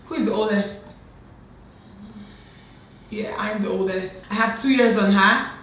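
A woman in her late twenties from Nigeria, hosting a casual sister tag chat with animated, laughing talk, filmed seated at home. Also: medium pitch, a little slow. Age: late 20s. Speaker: beauty vlogger host. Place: bright home room, indoors. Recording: echoey room with faint noise.

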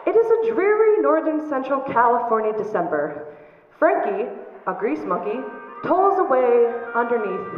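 A woman's voice: fairly high pitch, big pitch swings, normal speed. A woman reading scripted stage directions as the narrator of a play. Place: theater stage production. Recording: tinny, distant echoey theater, music behind.

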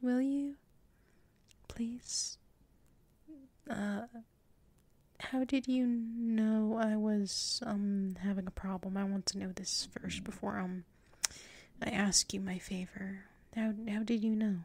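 Woman as a slow, murmuring tsundere werewolf girl. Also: mid-range voice, flat delivery, darkish tone, no room sound. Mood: hesitant, puzzled. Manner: hesitant, murmuring, often slipping into whispers. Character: submissive yet proud tsundere female werewolf, sleepy pack alpha. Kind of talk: ASMR roleplay, intimate and improvised. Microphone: close mic.

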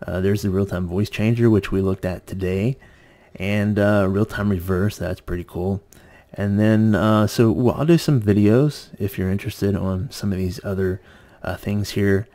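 A man's voice: fairly low pitch, steady tone, moderate pace, warm tone. A man presenting an informal hands-on tech tutorial, explaining plainly step by step.

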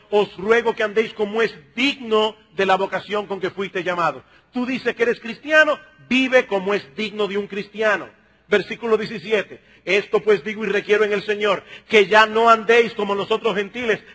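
A middle-aged man delivers a sermon in a high, forceful voice with a raspy, husky grain. He speaks emphatically as a pastor, putting questions to his listeners and addressing them directly. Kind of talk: sermon, expository preaching to a congregation.

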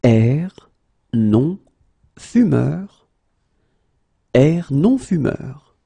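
A man's voice: a little high, sing-song, drawn-out words, gravelly, mellow, breathy edge, no echo. A male native French teacher drilling phrases one at a time, repeating each and then breaking it into single words. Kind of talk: slow phrase-by-phrase language drill.

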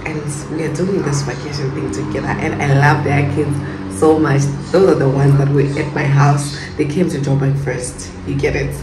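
A woman's voice: deep voice, flat delivery, normal speed, roomy sound, loud music behind the voice, low-quality recording. A young woman chatting to camera in a casual travel vlog. She rambles and fills her sentences with "like". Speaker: travel vlogger.

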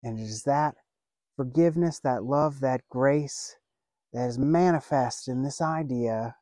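Slow, mellow-voiced pastor preaching outdoors. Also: male voice, mid-range voice, no room sound, clean studio sound. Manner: earnest, measured preaching with pauses. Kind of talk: sermon. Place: outdoors by a lake, seated on a bench.

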